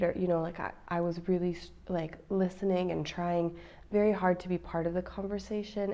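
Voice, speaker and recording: A woman's voice: lowish voice, dark tone, lo-fi sound. An American woman in her thirties, a mom vlogging in a chatty, playful way straight to her viewers. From United States. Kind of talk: casual chat to camera.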